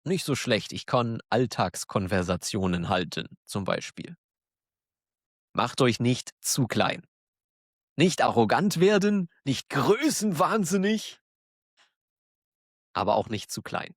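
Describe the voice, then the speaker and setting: A man with a German accent, hosting a podcast for learners, talking slowly and clearly in a warm, encouraging way and laughing now and then. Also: medium pitch, animated, no echo, clean studio sound. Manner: encouraging, self-deprecating, laughs often. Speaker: German teacher, podcast host. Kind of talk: slow, clear monologue for learners.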